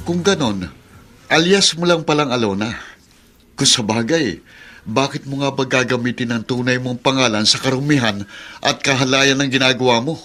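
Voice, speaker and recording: A man's voice: medium pitch, moderate pace, pushed and bright, natural voice. An actor playing a scornful, sarcastic, moralizing man in a Filipino radio drama, sneering with contempt. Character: scornful, sarcastic male cousin, moralizing and cruel.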